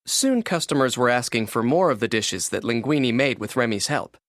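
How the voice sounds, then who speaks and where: A man narrating a children's read-along storybook in an expressive, scripted storytelling manner. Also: mid-range voice, fairly quick, no echo, clean studio sound.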